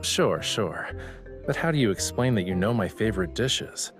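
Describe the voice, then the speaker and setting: A man plays a young gentleman painter in an audio drama. He speaks in a low voice with polite, teasing cool, over prominent background music. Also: animated, normal speed, lightly acted. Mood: teasing, probing. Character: young male painter, courteous, teasing, coolly puzzled gentleman.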